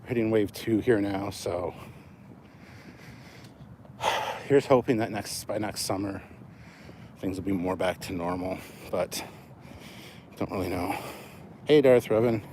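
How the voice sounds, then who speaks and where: A man's voice: lowish voice, a little slow, pauses often, warm tone. A man, a bit out of breath as he walks outdoors, chatting casually and informally with livestream viewers. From Canada. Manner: informal, swearing, reads out and answers comments. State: out of breath from walking. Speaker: livestream host. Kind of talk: casual rambling chat with live viewers. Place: outdoors, walking. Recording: noisy outdoor recording with vehicle sounds.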